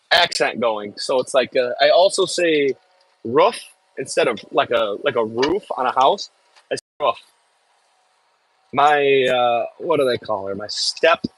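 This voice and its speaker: A man's voice: mid-range voice, fairly bright tone, no echo, rough recording. A man with a Michigan accent, hosting a casual livestream and rambling as he reads and answers viewers' chat messages. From Michigan, US Midwest. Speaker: livestream host. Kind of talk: casual live-stream chat.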